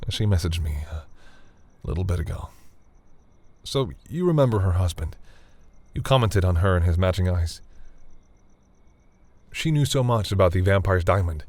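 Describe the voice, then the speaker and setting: A man playing a flustered, tender vampire boyfriend in an intimate audio roleplay, speaking haltingly with stammers and sighs. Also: low-pitched, lively intonation, darkish tone, no room sound. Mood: thoughtful, confiding.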